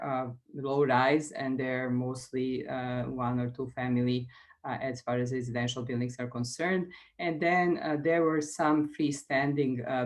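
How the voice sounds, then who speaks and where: A woman in her sixties with a Serbian accent gives an expert technical presentation online. Her delivery is even and fairly flat in pitch. She proceeds haltingly, pausing often as she searches for the next phrase. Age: 60s. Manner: hesitant, halting phrasing with frequent pauses. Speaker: expert presenter, structural engineer.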